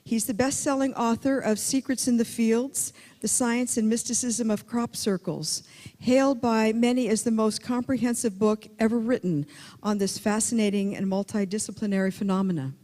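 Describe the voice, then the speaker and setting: A woman hosting a conference, reading out a measured, admiring introduction of the next speaker. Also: on the high side, mellow. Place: conference hall. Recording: long hall reverb.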